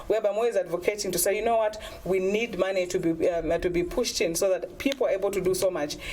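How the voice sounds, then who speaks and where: A woman's voice: on the low side, darkish tone. A middle-aged woman from Zambia, a former singer, speaking earnestly and persuasively in an interview, often saying "you know". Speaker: guest, former singer and music advocate. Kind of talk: interview answers.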